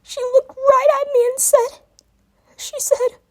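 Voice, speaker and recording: A young boy dragon, acted out by a woman, pours out a fright through sobs. The voice is very high and pushed, breaking and stammering with whimpers. It belongs to a dramatic storybook-style reading. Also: little pitch movement, slow. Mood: sobbing, panicked. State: crying. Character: distraught young male dragon confessing a nightmare. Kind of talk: dramatic reading of fan fiction.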